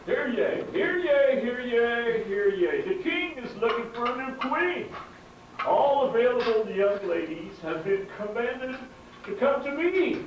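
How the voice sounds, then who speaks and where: A man's voice: very high voice, animated, measured pace, lo-fi sound, heavily acted. A man voicing a pompous, scheming royal villain in an amateur puppet show, crying out repetitively like a herald. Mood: pompous proclaiming. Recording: very echoey hall, distant mic, faint noise.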